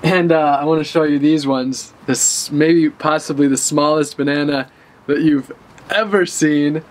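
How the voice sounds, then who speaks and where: A man's voice: on the high side, fairly even intonation, a little slow, poor audio. A man in his thirties giving an enthusiastic, casual walk-through of his garden in a vlog, talking as he moves and eats. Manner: enthusiastic, gestures while talking and eating. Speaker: vlogger showing his garden. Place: outdoor urban garden, then indoors by a bookshelf. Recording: outdoor traffic noise in background.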